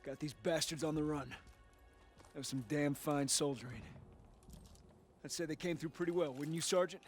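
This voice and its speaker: A man's voice: a little high, steady tone, normal speed, faint music behind, lightly acted. An actor playing a male senior officer who praises his soldiers. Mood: approving. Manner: approving praise.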